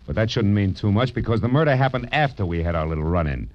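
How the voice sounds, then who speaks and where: An actor playing a wisecracking hard-boiled private detective in a 1940s radio drama, clipped, sardonic and slangy. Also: male voice, lowish voice, lively intonation, fast talker, close to an everyday voice. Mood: wry, probing. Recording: muffled vintage radio recording, music behind.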